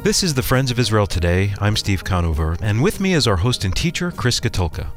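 A man's voice: lowish voice, very animated, brisk, soft background music. A man co-hosting a radio program, reading a scripted introduction as the announcer.

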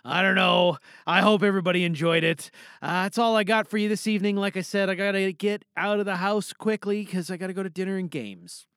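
A man's voice: high voice, fairly quick, few pauses, fairly bright tone, clean tone, dry recording, clean studio sound. A man hosting an audio drama podcast, giving casual, jokey sign-offs and announcements with some theatrical flourish. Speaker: podcast host and performer.

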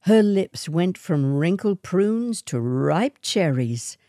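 A woman narrates literary fiction with a slow, measured delivery. Her voice is fairly low and mellow, atmospheric in manner, recorded close and dry. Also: lively intonation, no echo. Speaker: short story reader.

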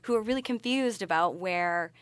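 A woman's voice: mid-range voice, normal speed, dry recording. A woman answering interview questions as a podcast guest, explaining things thoughtfully in a conversational way. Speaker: podcast guest, researcher and party presenter.

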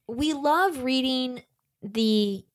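A woman co-hosting a podcast in casual chat, chatty and quick to laugh. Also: sits high, no echo. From from the US East Coast. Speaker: podcast co-host.

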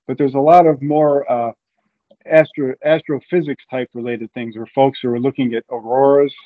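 A man's voice: mid-range voice, fairly even intonation, moderate pace, phone-quality sound. A man from Ohio, a guest in an interview, explaining at length with frequent restarts. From Ohio, USA.

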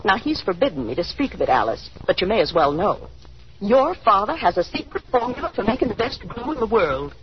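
A woman's voice: medium pitch, very animated, fairly quick, lightly acted. An actress playing a middle-aged wife and mother in a 1930s radio drama, insistent, bitter and plaintive as she nags. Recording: dull, noisy 1930s radio recording.

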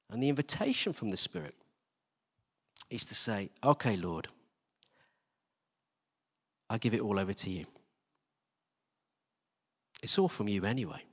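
British man preaching in a reverberant hall. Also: mid-range voice. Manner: earnest, conversational, addressing listeners as folks. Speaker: church leader preaching. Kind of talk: informal sermon. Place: large church hall, live service.

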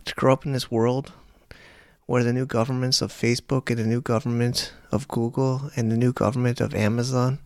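A man in his late thirties vents to the camera in a casual vlog. His voice has a gravelly, fry-edged texture, and he repeats himself and tags sentences with "man" as his exasperation builds. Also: mid-range voice, darkish tone. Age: late 30s. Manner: exasperated, repetitive ranting with frequent "man". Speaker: internet marketer.

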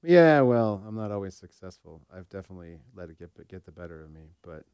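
A man's voice: deep voice, very animated, a little fast, darkish tone, clear, resonant tone, dry recording. A man co-hosting a podcast in a casual, informal way, thinking aloud with some hesitation. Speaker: podcast co-host. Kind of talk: casual chat.

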